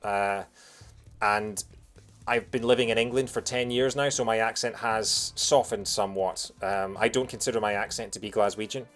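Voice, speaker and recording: A man from Lanarkshire with a soft Scottish accent, doing casual, jokey live gameplay commentary as a streamer. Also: fairly low pitch, moderate pace. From Bothwell, Lanarkshire, Scotland. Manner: jokey, punning, animated when reacting to the game. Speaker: video game streamer. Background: game music.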